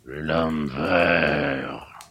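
A man voicing a surly, grumbling middle-aged country innkeeper with rustic speech and a gravelly growl. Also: very deep voice, steady tone, drawn-out words, fairly bright tone, voice pushed down, exaggerated voice. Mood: gruff.

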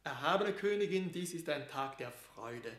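An actor playing a courtly, deferential envoy, speaking ceremoniously in classical radio-drama verse. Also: male voice, a little high, expressive, moderate pace, reverberant, lightly acted. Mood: courtly, hopeful. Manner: formal, ceremonious.

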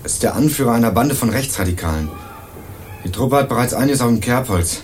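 An actor playing an earnest young male student investigator in a radio crime drama, narrating and questioning in a measured, dry way. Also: medium pitch, expressive, slight background noise, natural voice. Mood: earnest, probing. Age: young adult. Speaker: narrator and lead character.

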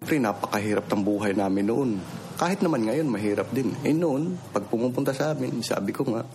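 A man's voice: mid-range voice, expressive, fairly quick, darkish tone. A man in his fifties with a Filipino accent, giving a plain-spoken, conversational personal testimony and repeating phrases for emphasis. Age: 50s. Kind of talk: personal testimony, informal storytelling. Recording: noisy field recording with ambient sound.

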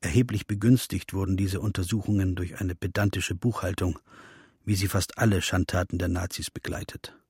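A man playing a sober, sardonic chronicler, narrating in a measured, precise documentary style for a radio drama. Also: low-pitched, steady tone, a little mellow, husky, no echo, clean studio sound, heavily acted. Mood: dryly ironic.

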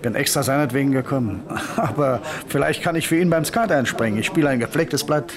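An actor playing an affable plainclothes police officer in a crime radio play, casual and jovial. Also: male voice, medium pitch, fairly quick, lightly acted. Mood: affable, probing. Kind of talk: mid-century German crime radio play acting. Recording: pub crowd behind voice. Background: noisy pub with revellers.

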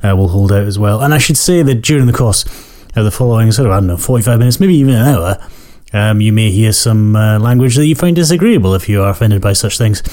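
A man in his forties with a croaky voice, hosting a podcast in a rambling, self-deprecating way with many fillers. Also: lowish voice, lively intonation, fast talker, mellow. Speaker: podcast host. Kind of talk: casual spoken intro.

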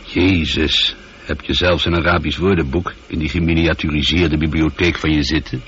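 A man's voice: extremely low pitch, fairly even intonation, normal speed, fairly bright tone. A man playing a wry space pilot in a science fiction radio drama, talking in a dry, informal, conversational way. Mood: wry, relaxed. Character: male space pilot, wry, teasing, easily irritated but apologetic. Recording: dull, lightly noisy old radio recording.

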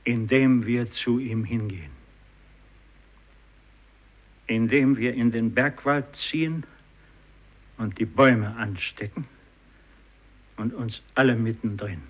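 A man acting a solemn, accusing fisherman in a vintage German radio play. He speaks very slowly in a husky voice, with deliberate repetition. The recording is dull and aged. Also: medium pitch, natural voice. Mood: grim, despairing. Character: grave, accusing Japanese fisherman questioning God.

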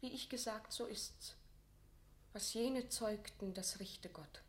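Solemn, high-voiced condemned queen. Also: slow pace. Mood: quiet firm dignity. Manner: solemn, declamatory, fervent. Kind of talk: classical German verse radio drama acting.

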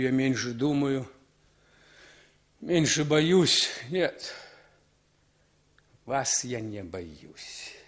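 A male actor plays a self-loathing exile deep in drink, in a vintage radio drama. His mid-pitched voice is halting and brooding. He repeats himself with bitter emphasis, like a man worn down by his own conscience. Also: lightly acted. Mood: drunken, accusing. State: drunk. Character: bitter, drunken, self-loathing émigré, a former prisoner of war. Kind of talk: 1960s Soviet radio play acting.

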